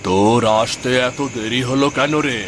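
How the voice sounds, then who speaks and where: A man playing a protective, worried father in a cartoon story, with a gently scolding, questioning manner. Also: mid-range voice, moderate pace, close to an everyday voice. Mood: concerned, chiding.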